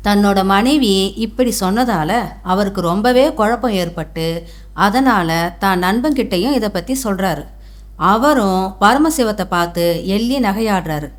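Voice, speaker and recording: A woman's voice: mid-range voice, lively intonation, a little slow. A woman storyteller delivering scripted narration for a folktale.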